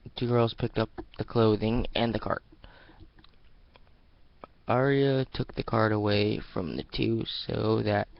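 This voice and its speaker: Man, very creaky low voice, scripted story narration, muffled lo-fi recording. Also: steady tone, slow pace, long pauses, no room sound. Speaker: amateur narrator. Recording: dull lo-fi home recording.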